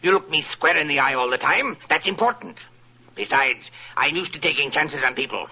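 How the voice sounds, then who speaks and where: A man's voice: fairly high pitch, lively intonation, moderate pace, slight background noise. An actor playing a wealthy, paranoid, self-satisfied old businessman in 1940s radio style, grandly wordy and theatrical. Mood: triumphant, boastful. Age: older. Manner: theatrical, grandly wordy, repeats himself for emphasis. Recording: muffled vintage radio recording.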